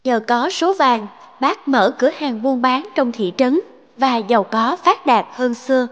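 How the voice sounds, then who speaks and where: A woman's voice: high-pitched, expressive, a little slow, muffled recording. A woman narrator reading a scripted storybook tale.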